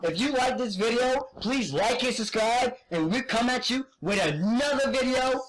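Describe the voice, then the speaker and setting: A man's voice: extremely high pitch, expressive, moderate pace, very noisy. A man bantering and teasing through a casual gaming session, laughing often. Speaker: co-host gamer.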